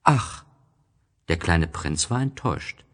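Male narrator, lowish husky voice, expressive intonation, unhurried storybook reading.

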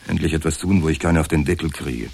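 An anxious, beaten-down office clerk in a radio play. The voice is extremely low and rough-edged, and he picks his way hesitantly through self-pitying sentences. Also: steady tone, fast talker, darkish tone, heavily acted. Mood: hesitant, aggrieved. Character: insecure, downtrodden male insurance clerk, anxious and hesitant. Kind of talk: naturalistic radio drama acting.

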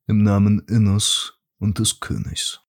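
An actor playing a solemn royal herald who reads an old feudal charter in a stately, measured, declamatory way for an audio drama. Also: male voice, on the low side, vocal fry. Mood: solemn, stern. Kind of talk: formal archaic proclamation in an audio drama.

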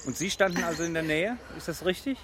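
A man playing a brisk, businesslike police officer in a radio drama. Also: a little high, a crowd in the background, natural voice.

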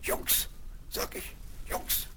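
Castaway, male,, nervous stammer, slow delivery, noisy vinyl. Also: bright, forceful tone, full character voice. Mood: confiding. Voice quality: stammering, halting. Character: ragged marooned male castaway, jittery and stammering. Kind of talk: 1970s radio play acting.

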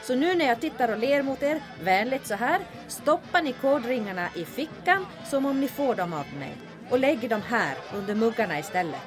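Woman playing a lively, sardonic barkeeper. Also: a little high, hardly any pauses, lightly acted. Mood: conspiratorial. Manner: dry, bossy, teasing. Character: shrewd, sardonic female bar owner secretly aiding resistance. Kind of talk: comedic audio drama acting. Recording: music and crowd chatter behind voice. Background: bar crowd and music.

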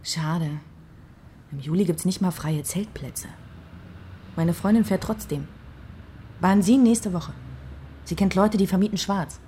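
A woman's voice: fairly low pitch, a little slow, long pauses, dark tone, a little hiss or hum, close to an everyday voice. An actress playing a curious East German girlfriend in a radio drama, casual, playful and probing. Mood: tentative, casual.

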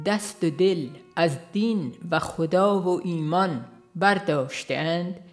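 Woman audiobook narrator, lowish register, slow reflective delivery over faint music. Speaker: audiobook reader. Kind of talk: scripted devotional reading. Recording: faint instrumental music behind.

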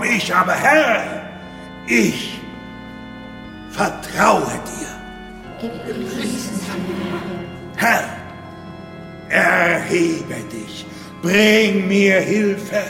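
An actor playing a fanatical, menacing cult preacher in a radio drama, declaiming theatrically with drawn-out solemnity. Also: male voice, high voice, gravelly, full character voice. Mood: fervent pleading. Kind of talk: German radio drama sermon and chanted prayer. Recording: echoey church-like hall, music behind.

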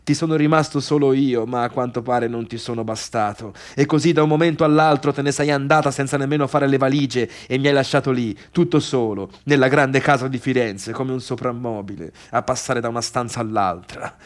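An actor playing a middle-aged failed pianist, bitter and obsessive, in a scornful, sarcastic theatrical monologue about the sister who left him. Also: male voice, mid-range voice, steady tone, brisk, few pauses, close to an everyday voice. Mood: mocking, bitter, then wounded, bitter. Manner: scornful, sarcastic, brooding, swinging between muttering and outbursts.

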